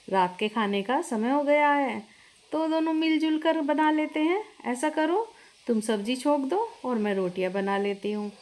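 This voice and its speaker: A woman's voice: high voice, a little mellow. A woman voicing a breezy, bossy sister-in-law who orders a newcomer about in a family story.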